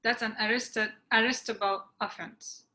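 A stern, commanding cop, played by a woman in a script reading. The voice is fairly high and bright, firm and insistent with every order. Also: steady tone, normal speed. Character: stern, insistent police officer giving orders. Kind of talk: screenplay table read.

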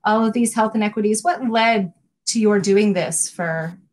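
A woman's voice: medium pitch, normal speed, a little mellow. A woman hosting an interview podcast, introducing her guest formally and then chatting with her in a friendly way. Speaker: podcast host.

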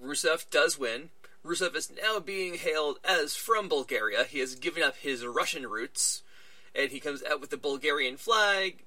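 A man's voice: on the high side, sharp tone, no room sound. A man hosting a casual podcast, chatting through a wrestling show recap and acting out little quoted lines along the way. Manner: chatty, retelling events with little quoted voices. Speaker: podcast host.

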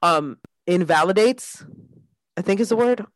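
A woman's voice: on the low side, animated, unhurried, dry recording, rough recording. A woman co-hosting a casual podcast chat, agreeable and sometimes searching for the right word. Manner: agreeable, searching for words as she talks. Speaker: podcast co-host.

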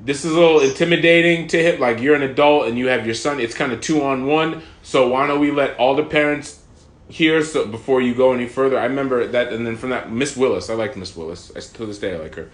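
A man's voice: medium pitch, quick pace. A man in his thirties reminiscing in casual podcast chat as a co-host. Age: 30s. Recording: echoey room sound.

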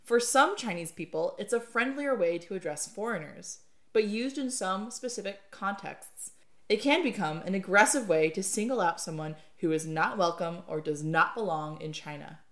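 A woman's voice: medium pitch, expressive, normal speed. A woman co-hosting a podcast, asking curious questions and reading scripted lines. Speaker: podcast co-host. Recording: echoey room.

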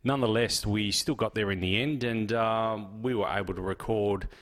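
An Australian man hosting his podcast and introducing a retrospective episode in a relaxed, rambling way with lots of fillers. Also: fairly low pitch, normal speed. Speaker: podcast host. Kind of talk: casual solo intro.

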